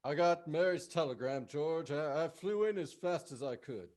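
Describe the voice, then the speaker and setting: Male actor as devoted, proud kid brother in old-time radio play, pitched a little high. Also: moderate pace, a little mellow, lightly acted. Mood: warm. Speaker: amateur actor. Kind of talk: 1940s radio play acting.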